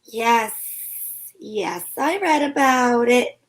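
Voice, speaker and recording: A woman's voice: fairly high pitch, drawn-out words, low-quality recording. A woman playing a breezy, wisecracking private detective in an amateur old-time radio drama, wry and playful.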